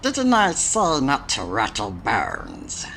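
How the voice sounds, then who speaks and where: A smug, evasive authority figure in a noir sci-fi game. The voice is low and unhurried, swooping widely as it talks down to the listener. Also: female voice, huge pitch swings, gravelly, fairly bright tone, a little hiss or hum, heavily acted. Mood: dismissive, warning. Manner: drawn-out, condescending, evasive. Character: evasive, condescending station authority, slow and smugly dismissive. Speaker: video game character. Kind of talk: noir point-and-click game voice acting.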